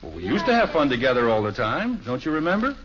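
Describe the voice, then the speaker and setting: Blustery middle-aged American sitcom dad, swooping pitch, bright forceful voice, dull old radio recording. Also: male voice, sing-song, fast, a little hiss or hum. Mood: hearty, persuading. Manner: emphatic, indignant, hearty. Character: blustery, nostalgic middle-aged suburban father, easily exasperated. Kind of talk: 1950s radio sitcom acting.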